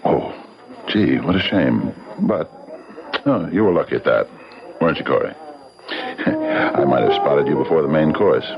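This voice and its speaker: An actor playing an oily, condescending man about town in a radio drama, drawling and needling with mock courtesy in a gravelly voice. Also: rumbling low, huge pitch swings, unhurried, long pauses, exaggerated voice. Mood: needling, suave. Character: smooth, menacing man, oily and condescending socialite. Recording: dull old radio recording, loud music.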